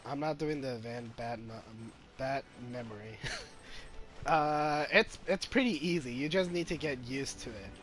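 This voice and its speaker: A man's voice: medium pitch, normal speed, a bit bright. A man giving casual live gameplay commentary, hesitant and thinking aloud as he goes. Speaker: game streamer. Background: game music.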